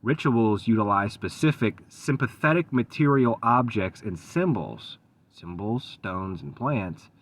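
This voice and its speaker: A man giving an unscripted solo talk for his video channel, measured and thoughtful, with frequent pauses, ums and restarts. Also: lowish voice, expressive. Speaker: esoteric channel host.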